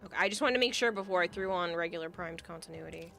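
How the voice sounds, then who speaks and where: Gravelly-voiced woman streamer. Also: on the low side, quick pace. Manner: thinking aloud, hesitant, soft at times. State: voice going hoarse. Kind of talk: casual game livestream chat.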